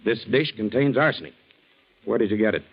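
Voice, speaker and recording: Blunt, dull-sounding old-radio detective. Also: male voice, on the low side, expressive. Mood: stern, pressing. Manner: brisk, blunt interrogation. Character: hard-nosed, accusing male police investigator. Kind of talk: 1940s radio drama acting. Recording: dull 1940s radio recording.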